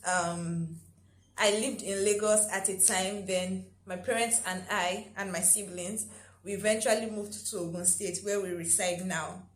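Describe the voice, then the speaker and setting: Brief: young Nigerian-accented woman, chatty vlogger, mid-pitched, roomy echo with faint hiss. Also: fairly even intonation, measured pace. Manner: chatty, laughs often, frequent "um" and "right?". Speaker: YouTuber. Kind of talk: casual vlog talk.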